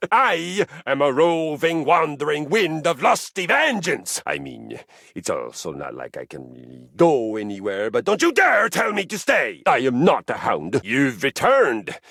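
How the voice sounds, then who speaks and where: Viking exercise machine, gravelly, wildly swinging pitch, slow deliberate delivery, dry studio sound, grandiose game character. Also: male voice, very animated, fairly bright tone, dry recording, exaggerated voice. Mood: threatening. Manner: grandiose, archaic and theatrical, bellowing. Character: boisterous Viking-minded male exercise machine, violence-loving. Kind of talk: game voice lines. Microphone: close studio mic.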